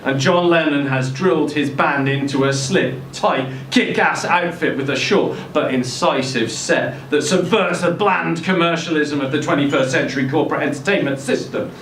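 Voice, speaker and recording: A man gives a dramatic story reading at a live literary event. His voice is mid-pitched. It is picked up from a distance in a very roomy venue with faint noise. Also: moderate pace, hardly any pauses, a bit bright. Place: indoor social room at a live event.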